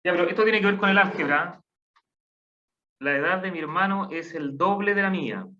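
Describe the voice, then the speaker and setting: A man's voice: high voice, expressive, normal speed, sharp tone, slight background noise. A man teaching a math class online, patiently counting aloud and asking the class questions. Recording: dull lo-fi online class audio.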